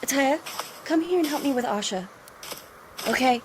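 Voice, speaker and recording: A woman acts out a young desert refugee in a cinematic audio drama. Her voice sits fairly high, earnest and reassuring, devout and protective yet fearful, over faint background ambience. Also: animated, moderate pace, slight background noise. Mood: calm, protective.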